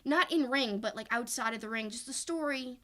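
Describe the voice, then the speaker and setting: High-pitched woman chatting on a podcast. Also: a little fast, bright, forceful tone. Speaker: podcast co-host. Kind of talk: casual opinionated sports discussion.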